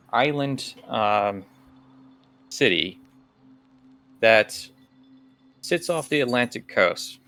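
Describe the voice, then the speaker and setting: A man acting as game master, casually explaining and setting scenes for a tabletop game in a halting, conversational way. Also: fairly low pitch, vocal fry, a bit bright.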